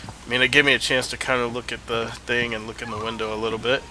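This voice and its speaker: A man's voice: medium pitch, level tone, fast, bright, forceful tone, slight background noise, low-quality recording. A man casually commenting while playing a video game, rambling and thinking aloud, with a stuffy nose. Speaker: gameplay streamer. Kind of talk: casual let's-play commentary.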